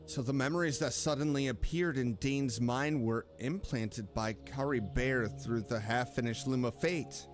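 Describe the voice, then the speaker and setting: A man's voice: mid-range voice, a little slow, hardly any pauses, music in the background. A man streaming a game, giving casual, reflective commentary and empathetic reactions aloud.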